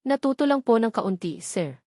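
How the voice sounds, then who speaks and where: A woman's voice: fairly high pitch, expressive, moderate pace, a little mellow, dry recording, studio quality. A woman voicing a timid young female secretary who speaks politely and hesitantly.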